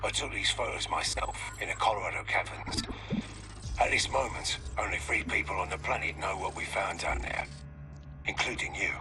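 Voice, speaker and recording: A man's voice: deep voice, normal speed, music in the background, natural voice. A man playing a ruthless mercenary. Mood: scheming. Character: ruthless male scheming mercenary trader, British. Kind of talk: video game cutscene acting.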